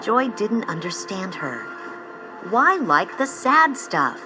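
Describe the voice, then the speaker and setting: A woman reading scripted storybook narration in an expressive, measured storytelling style. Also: mid-range voice. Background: music.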